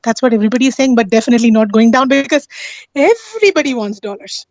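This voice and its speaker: A woman's voice: fairly high pitch, animated, fast, a little mellow, lo-fi sound. A woman explaining things in casual discussion, insistent and often checking that the listener agrees. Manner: insistent, often seeks agreement with tag questions. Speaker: guest on a live talk stream.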